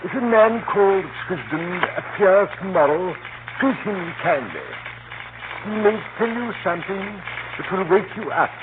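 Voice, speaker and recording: An actor playing a proper, unflappable manservant, formal and measured, in a 1930s radio drama. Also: male voice, high-pitched, lively intonation, narrow, tinny audio. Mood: formal, dutiful. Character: deferential, unflappable British manservant, dry and proper. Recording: noisy, thin vintage radio recording.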